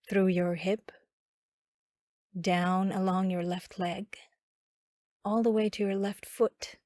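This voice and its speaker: A woman guiding a body scan meditation in a calm, instructional manner with long pauses. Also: mid-range voice, no room sound, clean studio sound. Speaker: meditation guide.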